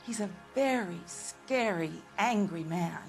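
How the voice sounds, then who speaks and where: A woman's voice: medium pitch, lively intonation, takes it slowly, soft background music. An actress playing an uneasy, respectable married woman giving a witness account in a video game cutscene.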